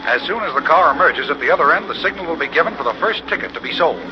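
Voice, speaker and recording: An American man playing an earnest, excitable on-the-scene reporter in a 1940s radio drama, announcing formally in a projected voice. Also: a little high, quick pace, over music, lightly acted. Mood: upbeat, formal. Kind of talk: 1940s radio drama, live news commentary. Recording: muffled old radio, faint noise.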